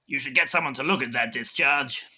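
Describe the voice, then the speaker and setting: A man's voice: mid-range voice, brisk, pushed and bright, slightly rough. A man playing his part in an improvised comedy roleplay. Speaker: player in a roleplay podcast.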